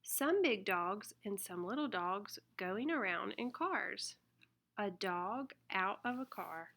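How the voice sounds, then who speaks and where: A woman reading a children's picture book aloud in an expressive, deliberate way. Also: mid-range voice, gravelly, a little hiss or hum. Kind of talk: read-aloud children's storybook narration.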